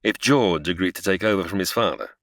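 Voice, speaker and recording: A man's voice: low voice, quick pace, fairly bright tone, no room sound, studio quality. A man playing a patient, slightly flustered angel who narrates and explains a story in a comedy audio drama. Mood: storytelling.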